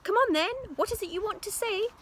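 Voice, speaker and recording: A woman voicing an excitable, bossy, impatient father in a children's storybook, full of urgent exclamations. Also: very high voice, a little fast, slight background noise, pitched above the performer's own voice. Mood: excited, impatient. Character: excitable impatient father, adult man, booming with enthusiasm.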